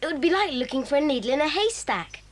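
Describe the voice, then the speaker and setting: Woman playing a lively, eager schoolboy. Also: high voice, fast. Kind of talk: 1980s children's audio drama.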